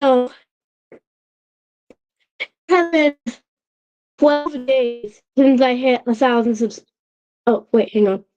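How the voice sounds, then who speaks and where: A woman hosting a casual livestream, talking in a halting way with frequent restarts and check-ins with her chat, her voice a little off from a sore throat that is still healing. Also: sits high, steady tone, a little mellow, smooth, lo-fi sound. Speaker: channel host.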